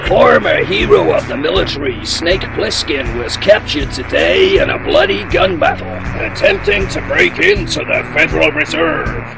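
A dramatic newscaster in a dystopian audio drama. The high voice leaps widely in pitch with heavy creak, and the delivery is theatrical and urgent. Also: male voice, very animated, moderate pace, few pauses, loud music behind the voice, exaggerated voice, poor audio. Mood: grave, urgent. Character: dramatic, urgent male news announcer in a dystopian future. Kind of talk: news bulletin in an audio drama.

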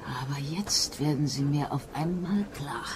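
A woman's voice: deep voice, measured pace. An actress playing a tipsy, sly servant woman in a German radio thriller, with a slurred, conspiratorial drawl. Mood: tipsy, suspicious. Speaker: actress in an audio drama. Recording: clearly noisy background.

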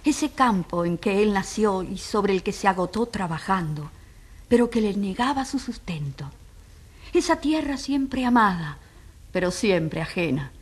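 An actress playing a solemn, compassionate narrator at a tribunal, grave and rhetorical in scripted dramatic narration. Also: female voice, medium pitch, animated, a little slow, breathy edge. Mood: solemn, indignant. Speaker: narrator and moderator of a dramatized trial.

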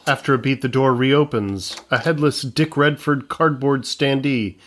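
A man's voice: mid-range voice, measured pace, fairly bright tone. A man reading scripted narration for an audio comedy, describing scenes as the narrator.

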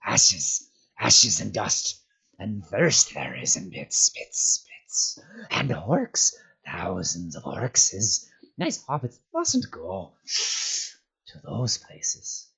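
A man voicing a wretched, cunning cave creature in a storybook reading, whining and hissing, with raspy whispers that break into a shrill voice. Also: huge pitch swings, drawn-out words, bright, forceful tone, exaggerated voice, low-quality recording. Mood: fearful hissing. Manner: whimpering, shrieking, speaks of himself as "we".

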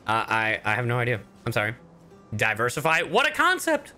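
A man's voice: medium pitch, fairly quick. A man chatting casually and swearing freely as he live-streams a game. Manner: animated, sweary. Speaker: streamer and voice actor. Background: video game music.

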